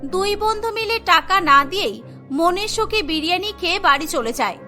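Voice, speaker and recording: A woman narrator with a very high, bright voice. She tells a scripted cartoon story over background music. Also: expressive, normal speed.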